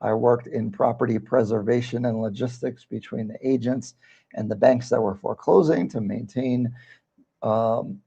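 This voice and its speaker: Male livestream host, casual and rambling, gravelly mellow voice. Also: mid-range voice, highs cut off. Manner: rambling, conversational, reads viewer comments aloud. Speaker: livestream host, real estate broker.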